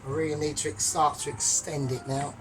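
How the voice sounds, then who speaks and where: A man's voice: fairly high pitch, level tone, brisk, poor audio. Chatty man explaining cooking steps. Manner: chatty step-by-step explaining, joking asides. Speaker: home cook. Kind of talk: casual cooking tutorial. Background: music.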